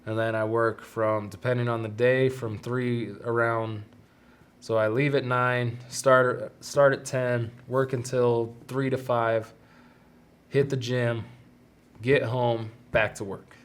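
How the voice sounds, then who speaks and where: A man's voice: mid-range voice, little pitch movement, slow, smooth. A young man hosting his own podcast in casual solo chat, talking with a stuffy, congested nose in a rambling, self-deprecating way. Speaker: podcast host.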